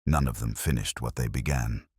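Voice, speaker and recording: A man voicing a guarded, melancholy woman journalist in a quiet, restrained and detached manner for an audio drama. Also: a bass voice, normal speed, husky, no echo, studio quality, voice pushed down. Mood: quiet, sad.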